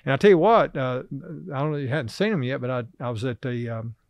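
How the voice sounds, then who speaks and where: A middle-aged man, a podcast guest talking casually and haltingly about fishing, full of fillers and restarts. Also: medium pitch, animated, darkish tone, a little hiss or hum. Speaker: podcast guest, river angler. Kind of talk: casual interview chat. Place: small office studio, indoors. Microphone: desk podcast mic on boom arm.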